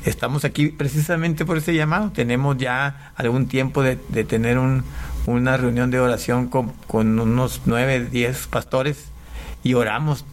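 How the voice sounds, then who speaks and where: A man from Panama, a pastor and guest, telling his faith story in conversation, halting with frequent restarts. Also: medium pitch, steady tone. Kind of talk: conversational testimony.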